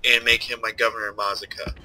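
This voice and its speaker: A man's voice: fairly low pitch, flat delivery, fast, sharp tone, slight background noise, rough recording. A young man with a stuffed-up, nasal voice, casually commenting while he plays a strategy game and thinks aloud. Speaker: let's play host.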